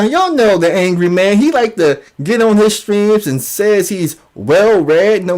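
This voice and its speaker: A man hosting an informal commentary show, mocking and emphatic in manner, often repeating his phrases for effect. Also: sits high. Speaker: YouTube show host.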